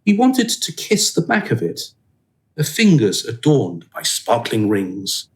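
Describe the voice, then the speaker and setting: A male radio drama narrator, voiced by an actor, measured and wry. He reads slowly at a middling pitch, with lively rises and falls. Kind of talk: scripted radio play narration.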